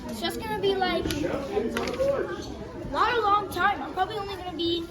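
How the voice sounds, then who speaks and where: Ten yr old girl doing a school presentation, high voice, stumbles and repeats herself, loud crowd chatter behind. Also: normal speed. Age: child, about ten. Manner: hesitant, restarts often. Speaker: student presenter. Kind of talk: class presentation.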